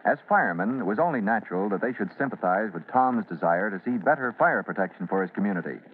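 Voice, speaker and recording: A man's voice: on the low side, animated, fast talker. A man narrating a radio drama as its announcer, in an emphatic, declamatory old-time broadcast style. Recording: tinny, faintly noisy vintage radio recording.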